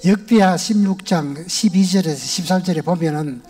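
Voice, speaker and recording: An older man speaks with a Gyeongsang accent, a retired elder sharing his testimony in a large church sanctuary. His voice sits high for a man yet sounds mellow and warm. His storytelling is plain and homespun, with a little music in the background. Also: normal speed, slightly rough. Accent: Gyeongsang Korean. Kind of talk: personal testimony to a congregation.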